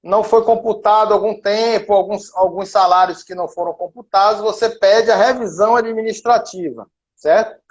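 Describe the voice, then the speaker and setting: A middle-aged man from Salvador with a softened Bahian accent, a lawyer and professor explaining cases through worked examples in a live stream. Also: high-pitched, normal speed, muffled recording. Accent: Bahian Brazilian Portuguese, softened. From Salvador, Bahia, Brazil.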